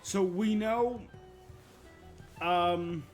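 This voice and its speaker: A woman live-streaming a game with casual, halting commentary. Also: lowish voice. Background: game music.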